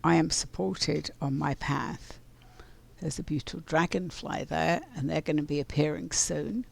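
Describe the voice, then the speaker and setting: A woman with a scratchy voice from pollen, doing a casual oracle card reading in an unhurried, reflective way. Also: sits low, steady tone, creaky. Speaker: spiritual card reader.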